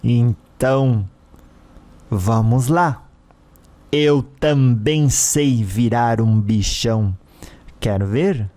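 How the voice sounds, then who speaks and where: A man's voice: mid-range voice, mellow. A man voicing a cruel, vengeful dead husband in an audio story, a mocking spirit with a sneering, menacing manner. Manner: menacing, sneering, with drawn-out villain laughs.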